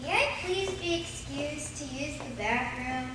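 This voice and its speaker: A high, childlike schoolgirl voice acted by a woman, chatty and anxious. It is an old-time radio family drama performed live, picked up from a distance in a very echoey space. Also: a little slow, fairly bright tone. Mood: curious. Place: theater stage before live audience. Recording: echoey noisy live stage, distant mic.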